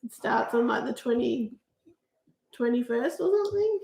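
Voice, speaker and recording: A woman co-hosting a casual live stream while she has a cold, laughing often and adding quiet side comments. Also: a little high, expressive, normal speed, vocal fry, reverberant, low-quality recording.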